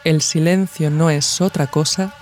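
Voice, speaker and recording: A woman from narrates a scripted, reflective audio essay in a measured, formal way, as a podcast host. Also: low voice, fairly even intonation, background noise.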